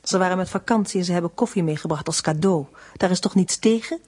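An actress playing a defiant, weary detained wife in a radio play, giving guarded, dry and curt answers. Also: female voice, on the low side, fast talker, a little mellow, husky. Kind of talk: Dutch radio play acting.

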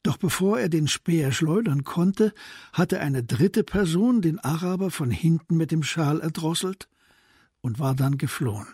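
A man narrating a crime radio play as scripted literary storytelling, in a measured manner. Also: fairly high pitch, animated, a little mellow, breathy edge, no echo, studio quality.

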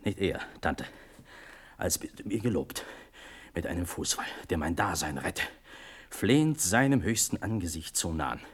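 A man's voice: deep voice, animated, normal speed, slightly rough. An actor playing a desperate young prince begging for his life, in fervent, declamatory classical radio-drama verse. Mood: urgent pleading.

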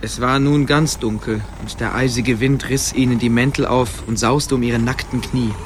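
A man's voice: medium pitch, steady tone, normal speed, slight background noise. A man narrating a story as scripted narration for a German radio play.

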